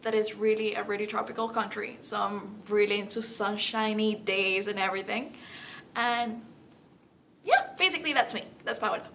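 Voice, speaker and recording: A woman's voice: mid-range voice, normal speed, vocal fry, pushed and bright. Young Salvadoran-accented woman, noisy recording. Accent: Salvadoran Spanish. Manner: earnest, non-native phrasing. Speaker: student election candidate. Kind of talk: candidate interview, campaign pitch.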